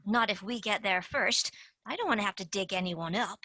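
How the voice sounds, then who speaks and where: A woman's voice: mid-range voice, normal speed, pushed and bright, no room sound. A woman playing a brash, scheming female tooth fairy agent in a stage play. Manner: brash, wheeling-dealing.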